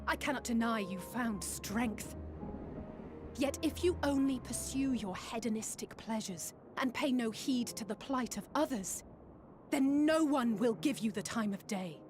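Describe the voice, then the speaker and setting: A woman's voice: a little high, measured pace, over music, natural voice. An actress playing a righteous young woman fighter who speaks in a firm, reproachful way in a video game cutscene.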